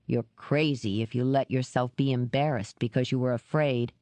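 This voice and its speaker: A woman voicing a gruff, remorseful male ex-cop in an audiobook, speaking low, slow and measured. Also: fairly even intonation, dark tone, dry recording. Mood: insistent. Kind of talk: audiobook character reading.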